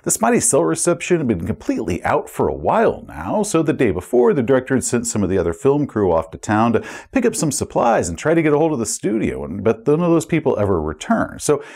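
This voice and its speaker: A man's voice: medium pitch, wildly swooping pitch, fast, gravelly, few pauses, a little mellow, slightly rough. A man hosting and telling tabletop gaming war stories as a game master, with emphatic, dramatic phrasing. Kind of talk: animated anecdotal storytelling about tabletop gaming.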